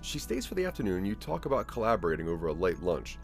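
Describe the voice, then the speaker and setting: A man narrating a scripted audio drama in the second person, with a measured storytelling delivery. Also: low voice, very animated, faint music behind.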